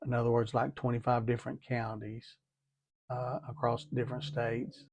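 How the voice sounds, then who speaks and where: A man's voice: medium pitch, monotone, normal speed, darkish tone, a little hiss or hum. A man giving a methodical, hands-on tutorial, talking through each step and repeating as he goes. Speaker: DIY product demonstrator.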